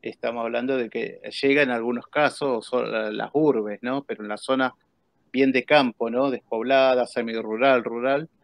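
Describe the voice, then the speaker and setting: A man's voice: mid-range voice, fairly even intonation, moderate pace, creaky, no echo. An Argentine man in his forties hosting a casual interview chat. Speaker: host. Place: indoors.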